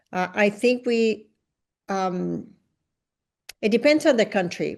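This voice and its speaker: A woman from Venezuela hosts a podcast interview, talking in an assertive, emphatic way with frequent self-corrections. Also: fairly low pitch. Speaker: podcast host. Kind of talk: conversational interview.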